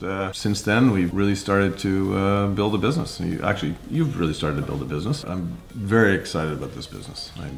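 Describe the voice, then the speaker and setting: A man in his fifties with a Canadian accent, telling his story in a casual interview in halting, hesitant phrases. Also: low-pitched, gravelly. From Ottawa, Canada. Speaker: investor and mentor being interviewed. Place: home kitchen, indoors.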